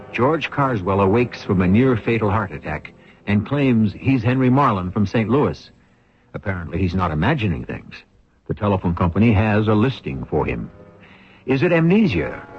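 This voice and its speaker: Male host for radio mystery: dramatic scripted narration, lowish voice, slightly muffled sound. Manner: suspenseful, posing questions.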